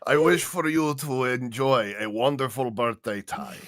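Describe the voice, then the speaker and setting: A man's voice: mid-range voice, a little slow, no room sound, clean studio sound. A man in casual group chat on a livestream, joking around and talking playfully. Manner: playful, animated, jokey. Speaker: voice actor on a cast livestream.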